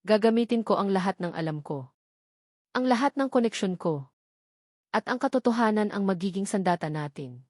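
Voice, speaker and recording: A woman's voice: mid-range voice, lively intonation, normal speed, long pauses, a little mellow, dry recording, studio quality, natural voice. A woman with a Filipino accent voicing a resolute, protective father in a dramatic story. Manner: emphatic, resolute. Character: determined, protective father fighting for his children.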